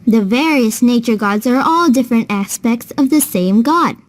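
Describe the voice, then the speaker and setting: Woman as high-voiced, patient big sister. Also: expressive, normal speed. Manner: patient, clear explaining. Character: patient, kindly, knowledgeable older sister teaching a child. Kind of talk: scripted children's educational storytelling.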